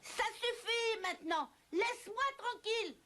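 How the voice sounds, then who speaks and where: Woman voicing a tiny, very high-pitched cartoon piglet. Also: unhurried, heavily acted. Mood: fed up. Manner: childlike, perky, exaggerated. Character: small, clever, cheeky young male pig. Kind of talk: French children's cartoon voice acting. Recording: loud music behind the voice.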